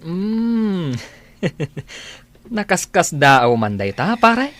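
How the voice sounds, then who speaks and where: A man's voice: sits high, very animated, very slow, a bit bright, exaggerated voice. A man playing a teasing, hesitant bachelor drinking buddy in a radio-style drama. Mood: amused.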